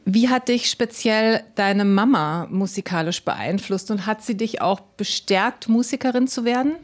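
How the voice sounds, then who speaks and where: A woman radio presenter reading scripted narration and asking interview questions in a measured, clear manner. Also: mid-range voice.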